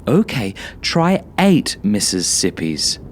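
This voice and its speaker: A man voicing a playful yet menacing mother in a horror story, with drawn-out, emphatic words. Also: lowish voice, wildly swooping pitch, slight background noise, exaggerated voice.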